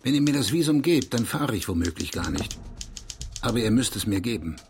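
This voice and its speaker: An actor playing a brooding East German writer, terse and awkward, speaking in halting, clipped phrases in a literary audio drama. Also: male voice, lowish voice, lively intonation, vocal fry, background noise. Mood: defiant.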